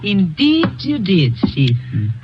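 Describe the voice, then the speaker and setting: A woman's voice: lowish voice, huge pitch swings, slow pace, music in the background, exaggerated voice. An actress playing a cold, scheming female killer in a 1950s radio drama, silky and mocking. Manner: silky, mocking, then shrieking in panic. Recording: dull old radio broadcast.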